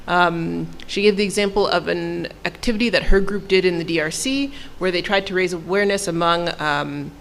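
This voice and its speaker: Woman doing a quick live translation, bit of fry, calm and measured. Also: fairly low pitch, a little hiss or hum. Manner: summarizing, measured. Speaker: interpreter.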